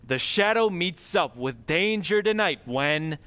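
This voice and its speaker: A male announcer narrates in old-time radio style. His voice is fairly high and forceful, delivered slowly with theatrical drama. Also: animated, smooth.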